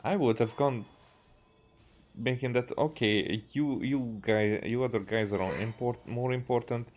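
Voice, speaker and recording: A man from Hungary, thinking aloud in halting, rambling gameplay commentary and often repeating himself. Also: medium pitch, darkish tone. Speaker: video game streamer. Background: faint game music.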